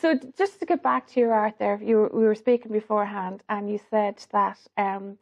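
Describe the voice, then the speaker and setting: A woman's voice: medium pitch, steady tone, normal speed, vocal fry, highs cut off. An Irish woman hosting a studio interview, effusive and full of praise for her guest. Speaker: radio show host. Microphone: studio microphone.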